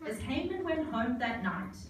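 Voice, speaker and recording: Casting: female storybook narrator, scripted verse, slightly high, distant in a noisy, very reverberant room. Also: big pitch swings, normal speed. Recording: very echoey, distant, noisy live hall.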